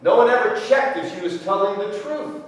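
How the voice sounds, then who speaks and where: A man's voice: high-pitched, lively intonation, fairly quick. An actor playing an impassioned man making an emphatic, theatrical plea in a courtroom drama. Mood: indignant. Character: impassioned man pleading a wronged woman's case in court. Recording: echoey hall, far from the mic.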